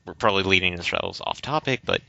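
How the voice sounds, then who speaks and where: A man's voice: low-pitched, quick pace, gravelly, pushed and bright, no room sound. A man co-hosting a podcast, explaining his views casually in a hesitant, roundabout way. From United States. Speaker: podcast co-host. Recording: dull lo-fi audio.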